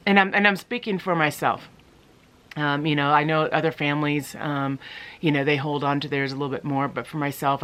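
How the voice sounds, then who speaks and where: Bright, clear-voiced woman rambling casually. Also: rumbling low. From United States. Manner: rambling, many ums and restarts. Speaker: vlogger. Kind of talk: casual talk to camera.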